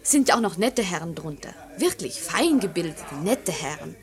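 An actress playing a pert, coquettish young innkeeper's daughter in a German radio drama, chatty and quick to scold. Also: female voice, on the low side, very animated. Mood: chatty, polite. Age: young adult.

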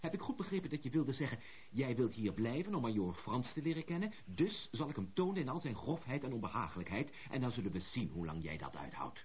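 Wry young gentleman, very lively pitch. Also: quick pace, slightly rough. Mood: ironic, earnest. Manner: ironic, formal. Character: self-assured young gentleman, wry, stubborn, courteous. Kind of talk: radio drama acting. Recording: tinny, noisy old radio recording.

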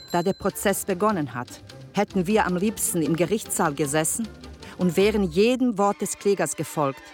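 A woman's voice: fairly low pitch, natural voice. Actress as earnest female survivor reading a letter. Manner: earnest, formal, reflective. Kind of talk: letter read aloud in radio drama.

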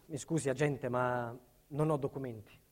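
A man's voice: medium pitch, steady tone, moderate pace, natural voice. A male actor playing a middle-aged family man under state protection, insistent and emphatic, in a dramatic stage monologue. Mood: subdued, guarded. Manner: emphatic, insistent, repeats himself.

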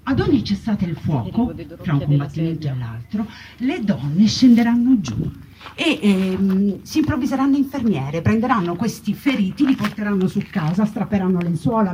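A woman's voice: medium pitch, lively intonation, moderate pace, mellow. A woman performing a narrative monologue as a storyteller, with emphatic, rhetorical repetition and wry irony. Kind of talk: theatrical narrative monologue on wartime history. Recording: muffled, distant mic with background noise.

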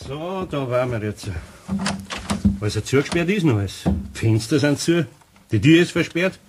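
A man's voice: on the high side, expressive, normal speed, noisy background, close to an everyday voice. A man playing a good-natured, easily flustered middle-aged master craftsman in a radio play, with a Bavarian accent and stammering, rambling speech. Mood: puzzled, embarrassed.

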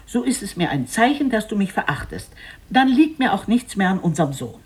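A scholar husband in a storybook tale, acted out by a storyteller. The voice is emphatic and pleading, slightly rough, with wide rises and falls. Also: medium pitch, sing-song, fairly quick, pitched above the performer's own voice. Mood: bitter reproach. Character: young scholar husband, coaxing, then bitterly resentful.

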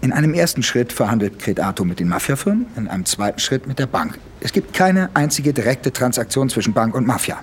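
A man's voice: medium pitch, big pitch swings, a little fast, few pauses, a little hiss or hum, natural voice. An actor playing a male police investigator in a radio drama, explaining things methodically. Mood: explanatory, assured.

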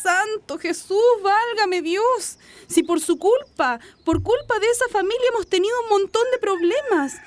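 A woman's voice: very high voice, a little slow, few pauses. An actress with a Chilean accent playing a worried working-class wife and mother, easily alarmed, in a radio drama. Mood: alarmed, upset. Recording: noisy recording with clicks.

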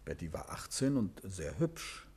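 An actor in a 1950s German radio play as a courteous English gentleman detective, calmly asking polite, measured questions. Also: sits low, vocal fry, breathy edge, heavily acted.

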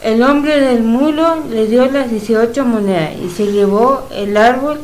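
Female narrator for storybook radio drama, fairly high pitch, scripted delivery. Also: measured pace, a little mellow, rough recording.

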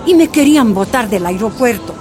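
Actress doing slow, mournful first-person memory, higher voice, guitar underneath. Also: female voice, animated, close to an everyday voice. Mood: sorrowful. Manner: slow, sorrowful recollection. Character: older woman leader looking back on her exile. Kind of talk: radio drama narration in first person.

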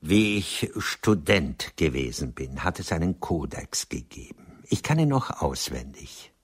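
An actor playing a proud, wounded Viennese doctor and writer in a radio play, speaking in deliberate, weighty phrases. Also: male voice, very deep voice, sing-song, breathy edge, exaggerated voice. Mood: bitter, indignant. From Austria. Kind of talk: German radio play acting.

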